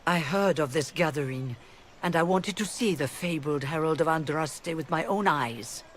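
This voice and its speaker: An actress playing a poised, mature mage leader in a game cutscene, speaking in a calm, measured, diplomatic way. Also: female voice, low-pitched, slight background noise, natural voice. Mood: calm, persuasive.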